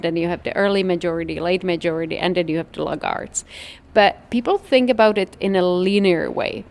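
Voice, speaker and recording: A woman's voice: mid-range voice, darkish tone. A woman with a Czech accent explains things in a casual, chatty interview style, peppering her talk with "like" and "you know". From Czech Republic. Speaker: interview guest, trend forecaster.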